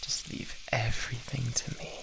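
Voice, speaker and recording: A British man playing an obsessive, doting boyfriend with quiet menace in an intimate, cooing ASMR roleplay. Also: noisy background, lightly acted. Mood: coaxing, sinister. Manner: cooing, slow, possessive, drawn-out words.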